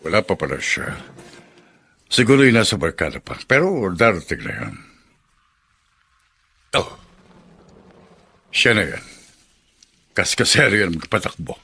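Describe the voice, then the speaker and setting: A man's voice: deep voice, big pitch swings, measured pace, gravelly, pauses often, sharp tone, slightly rough, heavily acted. A man with a Filipino accent playing a self-important, prosperous middle-aged congressman in a radio drama, assured and commanding. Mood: grave.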